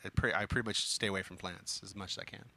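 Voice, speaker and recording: A man from Texas hosting a podcast, talking in emphatic, animated bursts. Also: low voice. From Texas, USA. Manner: fast, animated, emphatic rants. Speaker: podcast host. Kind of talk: casual chat.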